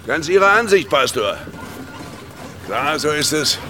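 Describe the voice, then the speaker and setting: A worldly, theatrical baron in a radio drama. He savours his words slowly and mockingly, with hugely varied intonation over background music. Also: on the high side, big pitch swings. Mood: teasing.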